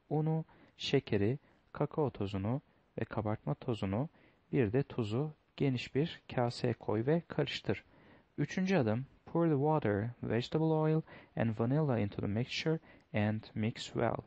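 A man's voice: mid-range voice, a little mellow, no echo. A man teaching a lesson, going through test questions step by step, reading each line in English and then translating it. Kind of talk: explaining test answers.

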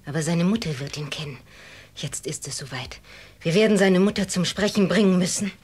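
Husky, ruthless female spy. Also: lowish voice, steady tone, fairly quick, a little mellow. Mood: triumphant, insistent. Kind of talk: German audio drama acting.

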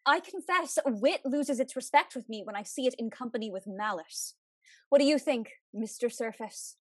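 A young woman acts an indignant young gentlewoman in a comedy of manners. Her voice is very high. She is prim and forthright in formal period speech. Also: expressive, moderate pace. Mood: disapproving. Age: young adult. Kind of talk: period stage comedy acting.

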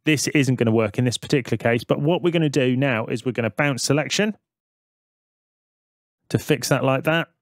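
A man narrates a screen-recorded software lesson in a dry, close recording. His pitch sits in an average male range, and he explains each step as a tutor would, thinking aloud as he goes. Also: a little fast, long pauses, no echo. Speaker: music production tutor.

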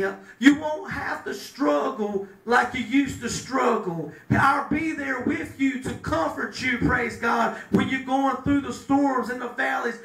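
A middle-aged man preaching a sermon at a pulpit, breaking into shouts and drawing call-and-response from the room. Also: very high voice, gravelly. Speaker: pastor. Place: small chapel room with a pulpit. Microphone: handheld mic, filmed by a far camera. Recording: echoey room from far off.

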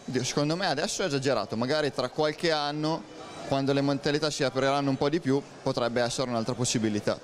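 A man in his thirties answering a street interview outdoors under a city arcade, giving calm, measured answers into a handheld mic. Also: mid-range voice. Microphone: handheld mic held toward him.

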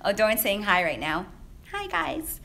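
A young woman whose first language is Vietnamese, chatting through a casual live Q&A as a menswear stylist, filming herself on a handheld phone in a high-rise office. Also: big pitch swings, normal speed, vocal fry, pushed and bright. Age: young adult. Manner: chatty, upbeat, laughs often, many fillers. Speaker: menswear stylist answering viewer questions. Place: high-rise office with large windows, indoors. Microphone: handheld phone at arm's length, shaky.

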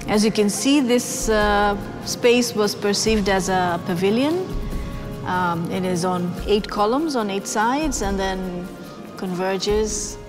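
Thoughtful woman architect over music. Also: medium pitch. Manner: thoughtful, measured with fillers. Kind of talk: interview about her work. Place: indoors in a brick building. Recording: music bed underneath.

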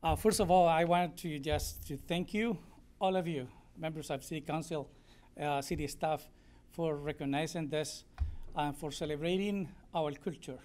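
A man's voice: on the high side, fairly even intonation, darkish tone. A man born in Spain and raised in Colombia, a native Spanish speaker, gives an earnest, heartfelt thank-you speech at a podium microphone in a council meeting room. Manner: earnest, heartfelt, slightly halting. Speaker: community member accepting a proclamation.

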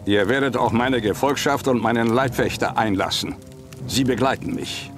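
An imperious emperor played by a man gives clipped orders in a mid-pitched voice. He is cold and insistent, with game cutscene music behind him. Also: normal speed, lightly acted. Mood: commanding. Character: cold, commanding male emperor. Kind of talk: video game cutscene acting.

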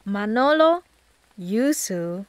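An actress playing an affectionate great-granddaughter in an audio drama. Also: female voice, medium pitch, lively intonation, slow pace, clean tone, no echo. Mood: fond, teasing. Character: affectionate great-granddaughter presenting newborn baby.

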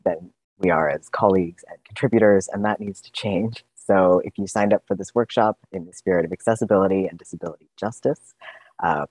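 A man with a very deep voice and a breathy, husky texture. He delivers earnest, prepared opening remarks over a video call. The sound is close and dry. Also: a little mellow, no room sound, poor audio. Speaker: workshop facilitator.

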